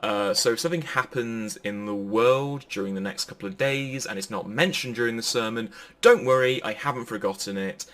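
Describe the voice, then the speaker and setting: A man's voice: mid-range voice, brisk, a bit bright. A man giving an informal, pre-recorded sermon in a chatty, jokey manner. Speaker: preacher.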